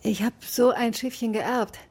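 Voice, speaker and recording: An actress playing an inquisitive, composed amateur sleuth in a naturalistic radio drama, with a husky voice. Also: female voice, a little high, steady tone, unhurried, a little mellow. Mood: polite, probing.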